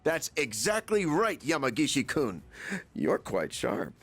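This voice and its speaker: A man's voice: medium pitch, huge pitch swings, measured pace, a bit bright, husky, soft background music, full character voice. An actor playing an eager middle-aged male chairman and researcher who lectures his team at length. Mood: lecturing. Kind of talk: video game voice acting.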